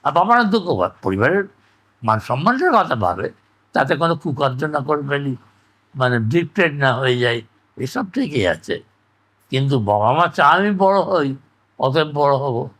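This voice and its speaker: Older man, higher-pitched voice. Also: sing-song, moderate pace, fairly bright tone. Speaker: interviewee. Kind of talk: conversational oral-history reminiscence.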